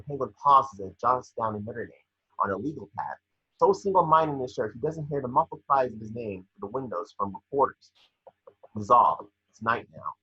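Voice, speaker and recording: A man with a husky voice reading scripted scene narration at an online table read. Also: medium pitch, pushed and bright, dull audio. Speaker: scene description reader.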